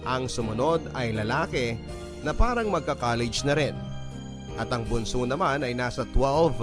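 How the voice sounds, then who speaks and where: A man narrating a listener's letter in a calm, even storytelling manner for a radio drama. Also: mid-range voice, moderate pace. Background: clear background music.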